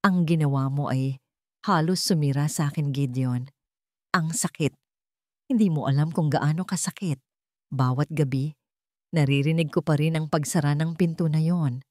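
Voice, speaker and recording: Woman as a wounded, forgiving wife, low-pitched. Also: normal speed, pauses often, a little mellow, no room sound, studio quality, natural voice. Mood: hurt. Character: deeply hurt young nurse wife, tender and forgiving. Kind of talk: dramatic Tagalog romance audio story.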